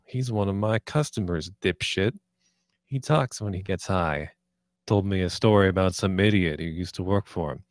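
A man's voice: low voice, moderate pace, no echo, studio quality, natural voice. A man reading the part of a cocky, menacing, sarcastic young drug dealer in a screenplay table read. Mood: taunting. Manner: sarcastic, foul-mouthed, taunting.

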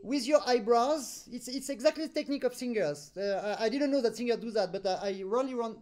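A man's voice: very high-pitched, soft background music. French-accented man teaching over video call. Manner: animated, deliberate pauses between phrases. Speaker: accordion teacher. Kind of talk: online master class instruction.

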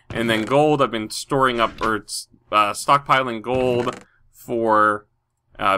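A man's voice: mid-range voice, bright, forceful tone, slight background noise. A man with a stuffy nose from a cold, rambling through casual Minecraft commentary with many fillers. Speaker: Minecraft video host.

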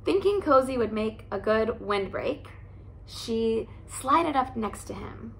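A woman in her thirties reads a picture book aloud in a soft, cozy storytelling voice. She goes slowly, with a gravelly edge and a slightly raised pitch. She sits close to the camera in a living room, with a faint hiss behind her. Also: animated, low-quality recording. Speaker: teacher reading aloud.

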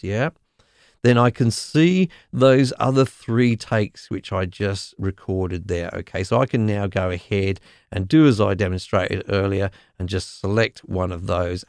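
A man with a slightly croaky voice explains music software in a relaxed, chatty way, often checking in with "okay?". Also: lowish voice, no echo, studio quality. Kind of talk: screen-recorded software tutorial.

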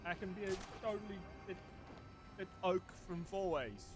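A man's voice: high voice, normal speed. A young man giving casual, profane commentary while he plays a game. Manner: profane, excitable, joking. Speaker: streamer. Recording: loud game music behind.

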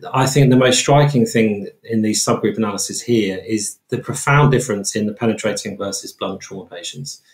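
Low-pitched British man in echoey room. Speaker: trauma researcher and interview guest. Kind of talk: explaining research findings in an interview. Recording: roomy, echoing sound.

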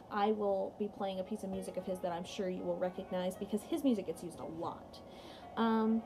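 A woman's voice: a little high, mellow. A young woman teaching music in a read-aloud lesson for children, explaining and chatting directly to her pupils. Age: young adult. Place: small indoor room at home.

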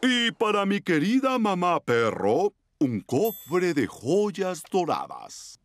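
A man's voice: high-pitched, huge pitch swings, slow, exaggerated voice. An actor with a Latin American Spanish accent playing a jovial dog sea captain and doting father in a children's cartoon, with hearty, theatrical exclamations. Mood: proud, cheerful. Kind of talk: dubbed children's cartoon acting.

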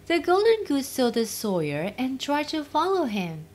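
A woman narrating a children's fairytale as storyteller, expressive and deliberate, with faint noise. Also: high voice.

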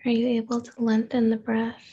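A woman therapist leading a guided meditation in a gentle, unhurried manner, with pauses and open questions. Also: on the high side, monotone, breathy edge, dull audio.

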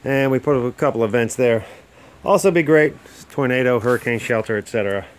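A man's voice: medium pitch, fairly quick, clear, resonant tone. A middle-aged home builder filming a casual, plain-spoken walkthrough of a construction site outdoors on a handheld phone, explaining as he goes with fillers and restarts. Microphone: handheld phone camera.

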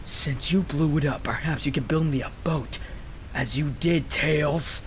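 A man's voice: a little high, measured pace, slight background noise, natural voice. Man voicing a brooding, solemn antihero hedgehog. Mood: reserved. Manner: serious, formal, restrained. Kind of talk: scripted comic dub acting.

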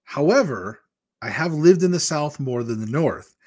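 A man's voice: on the high side, lively intonation, normal speed, a little mellow, breathy edge. A man in his fifties from Michigan, hosting a casual live chat with his viewers from a small room at home and speaking into a desk microphone. He talks candidly and rambles from one story to the next. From Michigan, USA. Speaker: weight-loss vlogger hosting a live chat. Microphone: large desk microphone in front of a webcam.

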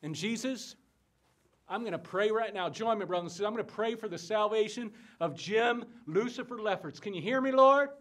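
A man's voice: sits high, brisk. An actor playing a fiery conman revivalist preacher in a theatrical stage monologue, bombastic and folksy, moving between confiding and exhorting. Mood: pleading prayer. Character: fiery conman revivalist preacher crusading against booze and sin.

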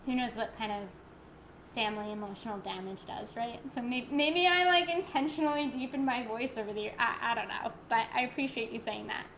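Woman, 30s, yoga instructor streaming from home; bright, slightly high voice, gentle cues turning to bubbly chat. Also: steady tone, slight background noise, low-quality recording. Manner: soft, slow guided cues, then bubbly rambling chat. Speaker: yoga teacher. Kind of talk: live-streamed yoga class, chatting with viewers. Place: living room at home, indoors. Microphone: laptop mic, webcam framing.

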